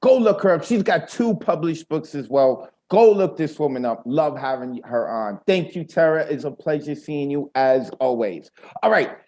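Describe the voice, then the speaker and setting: Bronx guy hosting a poetry open mic on a video call, kinda high voice, hyped and slangy. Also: moderate pace, warm tone. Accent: New York, Bronx.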